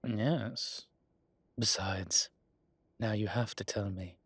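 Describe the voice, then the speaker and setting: Man playing Deacon, husky brooding vampire. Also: lowish voice, fairly bright tone, dry recording, heavily acted. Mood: resolute, proud. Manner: weary, deliberate, brooding. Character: Deacon, brooding proud male vampire, burdened father. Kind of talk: dramatic audio roleplay acting.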